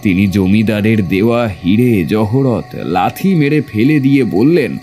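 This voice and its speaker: A man playing a fearful heir of a cursed landlord family, telling his tale in a grave, drawn-out way in a horror audio drama. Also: fairly low pitch, lively intonation, warm tone, faint music behind. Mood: ominous storytelling.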